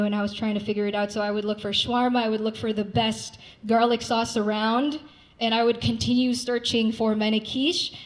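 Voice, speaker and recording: A woman's voice: on the high side, low-quality recording. A young woman from Saudi Arabia, a guest singer-songwriter, giving a gracious, earnest live introduction on stage at an art gallery event. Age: young adult. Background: faint guitar.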